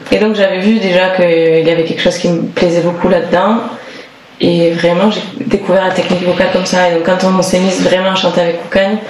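A woman's voice: fairly low pitch, little pitch movement, fast. A woman from the Toulouse area, a singer, talking casually in an interview and searching for her words with frequent restarts. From Toulouse area, southern France. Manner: thoughtful, hesitant, many restarts and fillers. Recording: roomy echo with clear background noise.

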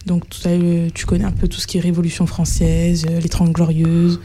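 A woman's voice: lowish voice, completely flat delivery, fairly quick, dark tone, a little hiss or hum. A woman interviewing a guest, rephrasing his answers back to him and leaning on casual fillers. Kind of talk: conversational interview.

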